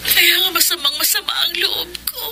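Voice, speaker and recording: A woman's voice: very high voice, moderate pace, sharp tone, slight background noise, exaggerated voice. A Filipino woman acting a jilted, aggrieved young mother in a radio drama, plaintive and pleading. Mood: hurt, resigned.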